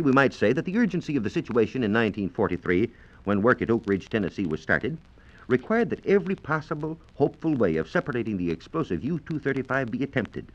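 An American man giving formal, measured scientific explanations as a reporter on a 1940s radio program. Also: medium pitch. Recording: dull, band-limited 1940s radio transcription disc.

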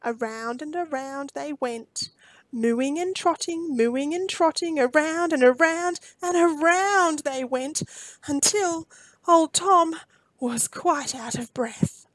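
Casting: female picture-book narrator, high pitch, unhurried, deliberate dramatic emphasis. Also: fairly bright tone, dry recording. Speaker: children's storybook reader. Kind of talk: expressive read-aloud storytelling.

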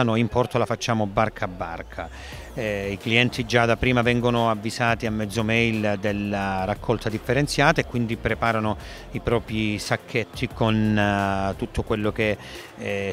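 A middle-aged man, a port director, answering interview questions at a boat show into a handheld mic, hesitating often with fillers and restarts. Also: lowish voice. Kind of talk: trade fair interview.

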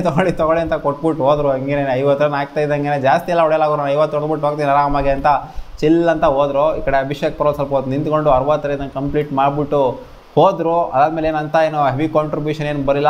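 A man in his twenties talking to camera in casual, colloquial, exclamatory cricket commentary, recorded on a lapel mic in a small home studio. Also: fairly high pitch. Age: 20s. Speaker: host of a cricket review channel. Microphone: clip-on lapel mic.

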